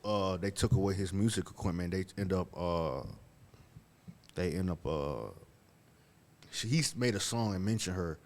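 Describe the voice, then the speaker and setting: A man's voice: deep voice, normal speed, long pauses, a little mellow. A man hosting a casual podcast chat, laid-back and leading the talk, with plenty of fillers. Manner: laid-back, leads the conversation with lots of fillers. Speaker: podcast host.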